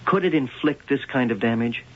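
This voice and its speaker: An actor playing a probing, laconic police lieutenant in a vintage radio drama, heard on a muffled old broadcast. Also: male voice, medium pitch, normal speed, close to an everyday voice. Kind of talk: 1970s radio drama acting.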